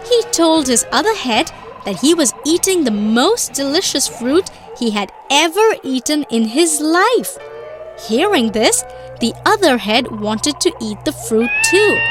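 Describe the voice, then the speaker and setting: Brief: patient cartoon mom, high animated voice, slow instructive storytelling delivery for small children, music underneath. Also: female voice, heavily acted. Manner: deliberate, instructive. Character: patient mother telling moral stories to her children.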